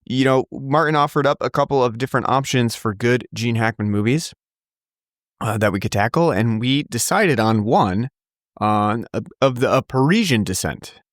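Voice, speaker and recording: A man's voice: medium pitch, normal speed, dry recording, clean studio sound. A man hosting a casual podcast, rambling with many fillers and restarts. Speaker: podcast host.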